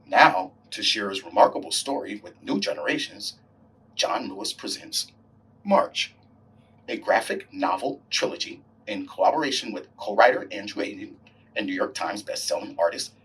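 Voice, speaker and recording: A man reading a story aloud in an expressive, deliberate way, acting out the dialogue as he goes. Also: on the low side, far from the mic, a little hiss or hum, poor audio. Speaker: volunteer story reader, hospital staff member. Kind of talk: reading a graphic novel aloud.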